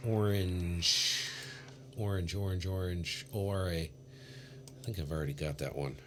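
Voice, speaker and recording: A man's voice: deep voice, fairly even intonation, a little slow. A man live-streaming amateur radio, chatting casually and muttering to himself between call-outs. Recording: clear background radio noise.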